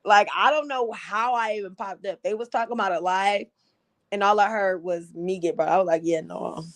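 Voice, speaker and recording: A woman with a slightly raspy voice hosting a casual livestream, giving blunt, animated commentary with frequent laughs. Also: mid-range voice, normal speed. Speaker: livestream host.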